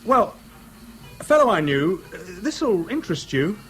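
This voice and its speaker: A man's voice: sits high, very animated, unhurried, fairly bright tone, soft background music, exaggerated voice, rough recording. A British actor in a 1970s radio drama playing a chatty, outwardly affable man who nurses a grudge, speaking in casual slang. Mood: slyly menacing. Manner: colloquial, slangy, casually insinuating.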